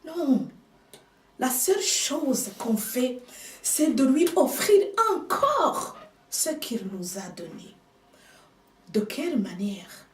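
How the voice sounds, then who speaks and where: Female preacher, non-native speaker, measured emphatic sermon, distant echoey pickup. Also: sits high, sing-song. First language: another language than French. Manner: slow, emphatic preaching with hushed asides. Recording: echoey room, speaker off mic.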